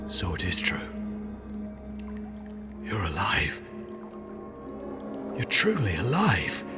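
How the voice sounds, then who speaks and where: A man's voice: low-pitched, huge pitch swings, takes it slowly, full character voice. A man playing a solemn, honorable assassin and devout zealot in a video game, speaking with grave, formal earnestness. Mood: stunned disbelief. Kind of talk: dramatic video game dialogue. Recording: game music behind the voice. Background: music.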